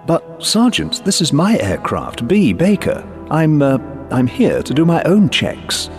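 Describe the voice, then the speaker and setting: A man's voice: fairly high pitch, very animated, darkish tone, faint music behind, voice pushed up. A man voicing an older, courteous, puzzled former air force pilot in a story. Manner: formal, halting, explanatory.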